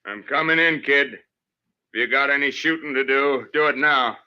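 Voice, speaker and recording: A man's voice: mid-range voice, normal speed, bright, forceful tone, clean tone, no echo. An actor playing a stern, weary Old West lawman in terse, plain-spoken old-time radio western style. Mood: urgent, commanding. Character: stern, resolute Old West lawman named George, weary and conscience-stricken. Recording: muffled, dull old radio recording.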